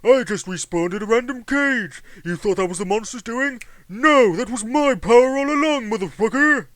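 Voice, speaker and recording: A man playing a smug Victorian gentleman. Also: sits high, sing-song, moderate pace, no echo. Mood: gloating. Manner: self-satisfied, boastful, theatrical. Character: smug, boastful Victorian gentleman game-maker.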